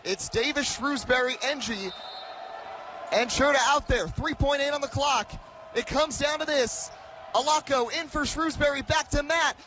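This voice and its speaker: A man in his twenties doing live radio play-by-play of basketball, with excitable, dramatic calls that rise into shouting. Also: very high voice, a little slow, slight background noise. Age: 20s. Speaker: play-by-play commentator. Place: basketball arena.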